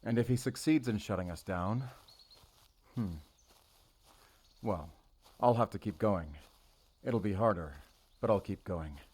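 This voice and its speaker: A man playing a brooding, guarded werewolf hunter in an audio roleplay, speaking in a solemn, halting, intimate way to the listener. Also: fairly low pitch, darkish tone, husky. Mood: weary, resolute. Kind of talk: cinematic audio roleplay acting.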